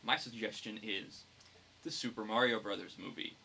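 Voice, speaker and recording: A man's voice: on the low side, fairly even intonation, moderate pace, bright, forceful tone. A young man talking casually as a podcast co-host. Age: young adult. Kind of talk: casual chat. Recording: clear background noise.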